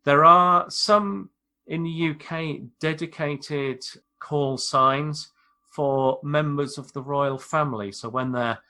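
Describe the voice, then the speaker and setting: A British man, an airline pilot, explaining things in a measured, informal way on a podcast. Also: mid-range voice, bright, forceful tone. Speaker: airline pilot, expert guest.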